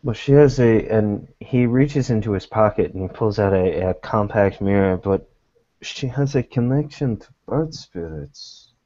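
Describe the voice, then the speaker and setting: A man acting as game master in a tabletop roleplaying game, speaking in deliberate, measured phrases. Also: on the low side, expressive, dark tone, no echo. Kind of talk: tabletop roleplaying game, narration and in-character dialogue. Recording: dull lo-fi sound.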